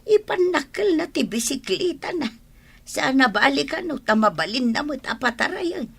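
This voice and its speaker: A woman's voice: high-pitched, very animated, moderate pace, gravelly, fairly bright tone, full character voice. A woman with an Ilocano accent acting a stern, fretful old village grandmother in a radio comedy drama. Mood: scolding.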